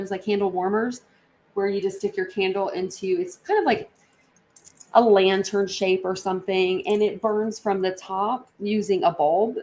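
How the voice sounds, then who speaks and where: A woman's voice: medium pitch, creaky, a little mellow, slight background noise. A woman hosting a livestream, chatting casually with viewers in a rambling, informal way full of slang. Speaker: livestream host. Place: indoors in an apartment.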